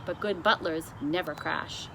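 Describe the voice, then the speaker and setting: A woman reading a children's storybook aloud as narrator, with expressive delivery. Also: sits low, a little slow, slight background noise, poor audio. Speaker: storybook reader.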